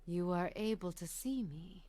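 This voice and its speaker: A woman playing an ancient, gentle seer in a fantasy video game, speaking softly and solemnly in halting phrases. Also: on the low side, natural voice. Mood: quietly wondering. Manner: soft, slow, halting, solemn delivery.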